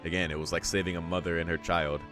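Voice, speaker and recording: A man reading a visual novel aloud as a let's play host, in measured narration with casual, slangy asides. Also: sits low, flat delivery, a bit bright. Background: music.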